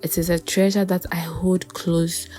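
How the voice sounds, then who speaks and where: A young woman in her twenties, a university student giving a soft, sincere personal statement. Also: fairly low pitch, little pitch movement, measured pace, soft background music.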